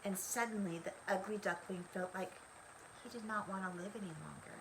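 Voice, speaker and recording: An older woman reading a children's story aloud in an expressive, deliberate way. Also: medium pitch, background noise. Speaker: storybook reader. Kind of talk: scripted children's story narration.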